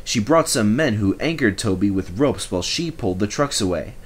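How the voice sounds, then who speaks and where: A man reading a children's story aloud in expressive, scripted narration. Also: lowish voice, fairly quick, slightly rough. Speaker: storybook reader.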